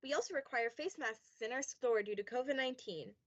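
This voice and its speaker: Young woman as high-voiced cheery ad saleswoman. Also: fairly quick, lo-fi sound. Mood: cheery, promotional. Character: cheery shop saleswoman in mock radio advert. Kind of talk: scripted radio ad pitch.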